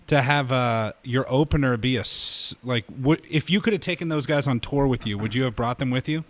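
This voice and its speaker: A man's voice: mid-range voice, a little fast. A man co-hosting a podcast who chimes in with short, wry remarks. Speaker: podcast co-host. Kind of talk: casual chat.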